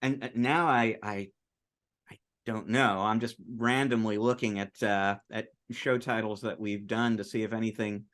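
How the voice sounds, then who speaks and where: A man's voice: lowish voice, fairly even intonation, normal speed, a bit bright. A man co-hosting a podcast over a video call, speaking hesitantly and self-deprecatingly, with many fillers. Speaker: podcast co-host. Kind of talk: casual chat.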